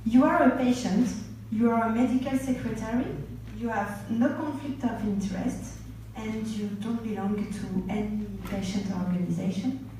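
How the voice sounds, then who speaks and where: Woman, panel moderator, formal, mellow, roomy reverberant hall, distant. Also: on the high side, fairly even intonation. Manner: measured, formal questions. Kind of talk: conference moderation. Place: large conference hall. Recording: echoey hall, distant mic, faint noise.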